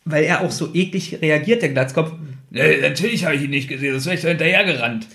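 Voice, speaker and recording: A man with a fairly high voice co-hosts a casual podcast discussion. He states his opinions emphatically and often repeats a phrase to drive it home. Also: fast talker, creaky, a bit bright. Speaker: podcast co-host.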